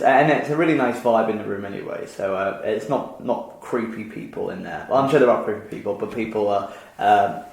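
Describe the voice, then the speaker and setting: A man's voice: on the low side, creaky, a little mellow. A man hosting a podcast in casual chat, rambling with many fillers and restarts and laughing easily. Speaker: podcast host. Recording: echoey room.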